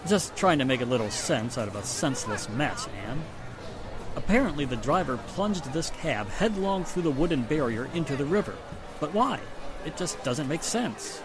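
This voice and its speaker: Man playing a brisk 1940s radio police inspector. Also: on the high side, very animated. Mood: matter-of-fact. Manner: matter-of-fact, assertive. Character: brisk, matter-of-fact male police inspector in 1940s mystery. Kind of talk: 1940s radio drama acting. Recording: music and street sounds behind the voice.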